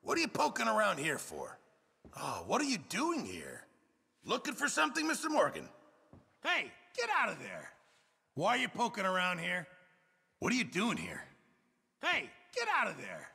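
An actor playing a gruff, fretful middle-aged camp cook in a Western video game, exasperated and husky. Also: male voice, high-pitched, moderate pace, long pauses, in a large hall, heavily acted. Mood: suspicious. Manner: exasperated, excitable, swinging from mutters to shouts. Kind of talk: video game ambient character barks.

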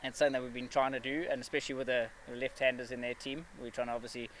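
A man in his thirties answering questions after a match, measured and steady, with frequent fillers and restarts. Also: medium pitch, a little hiss or hum, low-quality recording. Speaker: cricket player at a press conference. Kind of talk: post-match press interview. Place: outdoor press area with a backdrop.

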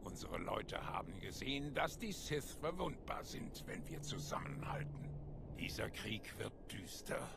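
A man voicing a grave, resolute reptilian alien military commander in a game cutscene, speaking in a solemn, measured way. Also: on the low side, sing-song, creaky, exaggerated voice. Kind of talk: dramatic game cutscene acting. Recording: game ambience and noise behind voice.

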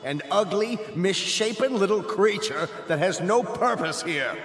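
High-voiced, scornful state chancellor. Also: male voice, expressive, a little slow. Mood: contemptuous. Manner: pompous, oratorical, emphatic. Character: cold, scornful state chancellor presiding over a tribunal. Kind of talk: radio drama acting. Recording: echoey hall, music underneath.

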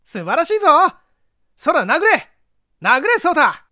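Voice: sits high, big pitch swings, unhurried, sharp tone, no echo, clean studio sound, pitched above the performer's own voice, heavily acted. A reader playing a confident young male detective, wry and commanding, in an audiobook mystery. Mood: excited, egging on.

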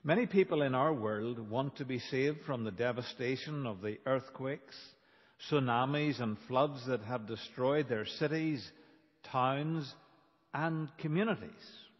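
A man preaching a sermon as a pastor, in a deliberate, emphatic manner with dramatic pauses. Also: medium pitch, breathy edge. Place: large reverberant church. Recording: dull, reverberant church hall recording.

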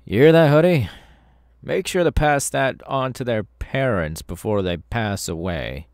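A man's voice: medium pitch, animated, a little slow, a little mellow, dry recording, clean studio sound. A man playing a smug, sadistic masked killer in an ASMR audio roleplay, taunting in a menacingly casual, mocking way. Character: sadistic masked young male killer, smug, gleeful cult henchman.

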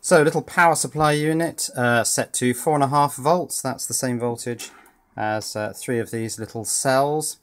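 A man's voice: mid-range voice, normal speed, a bit bright. A man giving casual, thinking-aloud commentary as he takes apart and repairs a toy at his workbench, with a dry, wry manner. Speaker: electronics hobbyist presenter. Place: indoors at a workbench.

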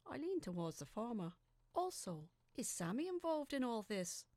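A woman reading scripted first-person fiction as a dramatic narrator, with a deliberate, suspenseful, theatrical delivery. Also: on the high side, sing-song, mellow, no echo.

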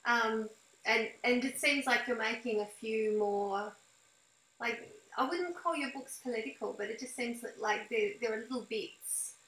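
A woman's voice: on the high side, unhurried, a bit bright. A middle-aged woman interviewing someone over a video call, asking conversational questions in a hesitant, thoughtful way. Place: small indoor room. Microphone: video call webcam setup. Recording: roomy, echoey video call audio.

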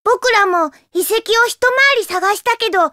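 A woman's voice: extremely high pitch, a little slow, bright, forceful tone, dry recording, clean studio sound, full character voice. A woman playing a tiny, innocent demon boy assistant in an anime game, childlike and eager. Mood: cheerful.

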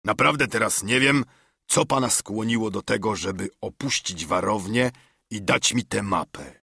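A man voicing a wily, smooth-talking middle-aged pirate cook who wheedles and bargains, in an audiobook. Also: lowish voice, sharp tone, dry recording, studio quality, exaggerated voice. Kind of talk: audiobook character reading.

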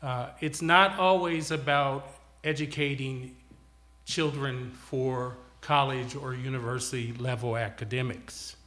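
A man answering as a school board candidate at a forum, formal, deliberate and emphatic, in short measured phrases. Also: mid-range voice, steady tone, sharp tone. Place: TV studio.

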